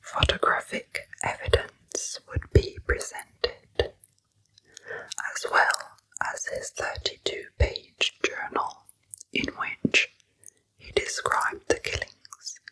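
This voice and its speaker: A woman narrating true crime in ASMR style, in a hushed, breathy whisper with deliberate storytelling. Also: low-quality recording. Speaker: narrator, ASMR channel host.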